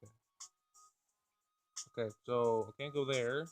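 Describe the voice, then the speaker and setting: A man doing a casual game playthrough, reading dialogue aloud and thinking out loud in informal, slangy language. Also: mid-range voice, steady tone, a little slow, smooth, soft background music. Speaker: let's play gamer.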